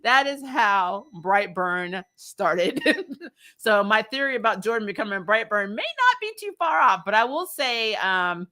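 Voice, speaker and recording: Female recap host, bright forceful voice, chatty and slangy, blunt opinions with mock quotes. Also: mid-range voice, measured pace. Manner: animated, slangy and sweary, breaking into laughter; acts out dialogue in mocking quotes. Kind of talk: casual, opinionated review chat to viewers.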